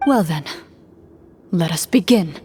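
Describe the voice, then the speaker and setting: A woman playing a composed, formal swordswoman narrating as game master in a video game, calm and solemn. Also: on the low side, takes it slowly, a little hiss or hum.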